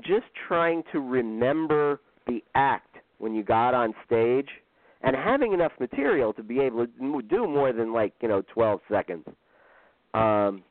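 A man in his fifties, an author being interviewed, talking casually and self-deprecatingly with frequent pauses and ums. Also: mid-range voice, no room sound. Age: 50s. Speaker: author guest. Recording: tinny phone-line sound, dry.

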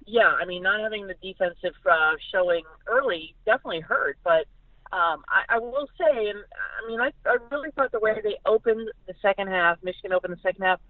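A woman's voice: fairly low pitch, no room sound. An American woman giving casual, emphatic sports analysis as a guest reporter, often repeating words for emphasis. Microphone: phone call. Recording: thin, narrow phone-line sound.

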